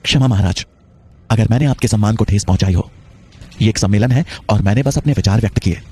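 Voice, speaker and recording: A man's voice: fairly low pitch, fast, darkish tone, breathy edge. A man playing a proud, principled scholar and teacher, speaking in an earnest, formal, declamatory way. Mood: proud, respectful.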